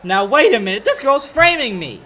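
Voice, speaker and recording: A man's voice: extremely high pitch, very animated, moderate pace, pushed and bright, slight background noise, exaggerated voice. A young man playing a hapless, earnest young lawyer in a 1940s-style radio play, easily flustered. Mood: indignant. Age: young adult.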